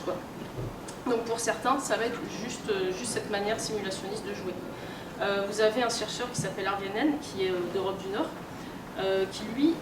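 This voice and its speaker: Heard from off in an echoey room full of loud noise, a woman gives an informal talk at a medium pitch. Her delivery is colloquial and hesitant, working out her phrasing as she goes. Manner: hesitant, colloquial, thinking aloud. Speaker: conference speaker, researcher and editor. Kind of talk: informal lecture on role-playing games. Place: convention room, in front of an audience. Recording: echoey, very noisy room, distant mic.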